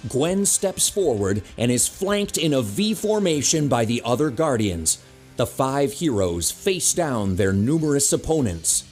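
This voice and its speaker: Male narrator for action audio drama, mid-pitched, very expressive, faint music bed. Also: measured pace.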